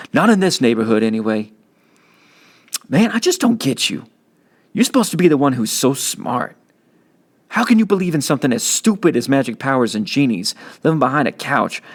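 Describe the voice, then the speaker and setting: A man's voice: mid-range voice, pitched above the performer's own voice. A man voicing a tough, streetwise teenage older brother who lectures bluntly in slang. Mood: stern, then exasperated. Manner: scolding, slangy, forceful lecturing.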